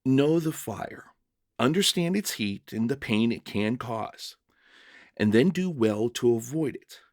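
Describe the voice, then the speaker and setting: A man voicing a kind, serene male prisoner in a story reading, speaking softly, slowly and with tender reassurance. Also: medium pitch, lively intonation, no echo, studio quality. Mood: earnest, kind. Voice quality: soft, kind voice. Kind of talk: dramatic short-story reading.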